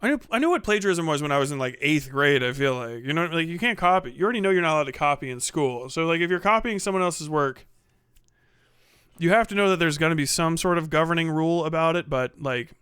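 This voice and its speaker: Male streamer, slightly high bright voice, loose sweary chat with viewers. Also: animated, fast talker, clean tone, no room sound, studio quality. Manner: profane, slangy. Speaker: livestream host. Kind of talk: casual livestream chat, reading and answering viewer comments.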